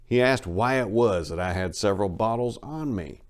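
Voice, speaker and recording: A man's voice: fairly low pitch, moderate pace. A man speaking as host and dramatic reader, with theatrical, emphatic phrasing. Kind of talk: dramatic audiobook reading.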